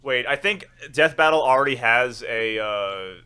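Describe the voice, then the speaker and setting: A man's voice: mid-range voice, lively intonation, measured pace, bright, forceful tone, clean tone. A young man co-hosting a podcast in casual, comedic chat. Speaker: podcast co-host.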